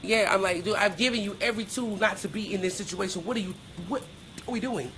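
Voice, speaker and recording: A woman's voice: medium pitch, fast, noisy background. A middle-aged woman talking candidly as a podcast guest in a blunt, emphatic, colloquial way. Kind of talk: candid personal interview.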